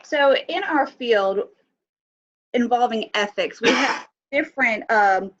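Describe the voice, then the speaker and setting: A woman's voice: fairly high pitch, lively intonation, slow pace, fairly bright tone, dull audio. A woman teaching an online class with a slight cold, asking students questions and using many fillers. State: has a cold, sniffly. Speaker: instructor.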